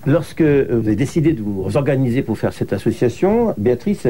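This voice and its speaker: A man's voice: mid-range voice, sing-song, a little fast, dark tone, a little hiss or hum. A male host asking polite, leading interview questions and summing up his guests' story.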